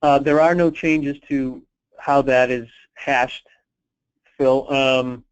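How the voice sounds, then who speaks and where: Man on a dull conference line, even-toned. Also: medium pitch, slow, clean tone. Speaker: presenter answering callers' questions on a conference call. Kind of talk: unscripted question-and-answer briefing. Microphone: telephone conference call.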